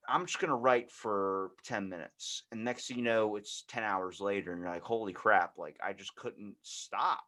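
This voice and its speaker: A man's voice: on the low side, moderate pace, hardly any pauses, sharp tone. A man hosting a podcast, talking in a casual, informal and rambling way. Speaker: podcast host. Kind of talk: casual chat.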